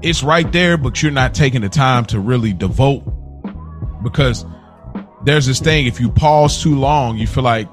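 A man speaks as a podcast co-host in a casual, conversational style. He explains himself emphatically, repeating phrases to drive points home, in a mid-pitched voice with music softly behind him. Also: moderate pace. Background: background music.

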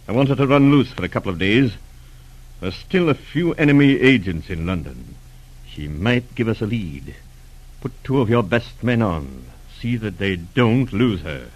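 A man playing a shrewd, authoritative counter-espionage chief in a 1940s radio drama, speaking in a deliberate, musing way. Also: lowish voice, expressive, highs cut off. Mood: calculating.

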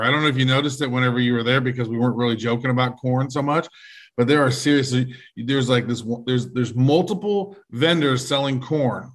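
A man co-hosting a podcast, cracking deadpan, goofy jokes. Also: mid-range voice. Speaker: podcast co-host. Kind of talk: casual chat.

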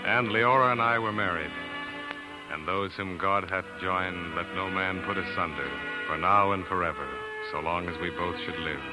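A man's voice: low voice, moderate pace, lightly acted, low-quality recording. An actor playing an earnest young scientist turned doctor, narrating his life in 1940s radio drama style. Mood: earnest, resolute. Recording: 1940s radio recording with music.

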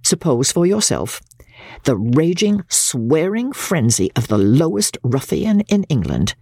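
A woman's voice: low voice, big pitch swings, a little slow, warm tone, slightly rough, no echo, clean studio sound, exaggerated voice. A woman reading classic literature dramatically as a prim, self-righteous older mother, pompous, genteel and indignant in manner. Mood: prim indignation.

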